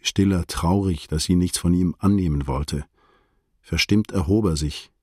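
An actor playing a reflective male prosecutor, narrating a literary radio drama in a measured, detached, observant way. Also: low voice, darkish tone, slightly rough, no room sound, studio quality. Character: reflective male state prosecutor recounting a visit to friends.

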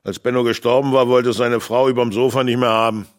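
A man's voice: medium pitch, fairly even intonation, fast, natural voice. Down-to-earth village handyman played by a man. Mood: obliging. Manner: down-to-earth, folksy. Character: plain, helpful village handyman with a tractor. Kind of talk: children's radio play acting.

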